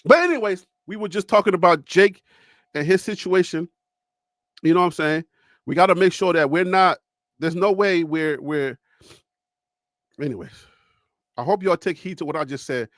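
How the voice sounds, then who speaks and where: A man from Liberia, hosting a live call-in show in a preachy, animated way and reading the chat. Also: sits high, moderate pace, pauses often, no room sound, clean studio sound. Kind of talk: casual livestream talk.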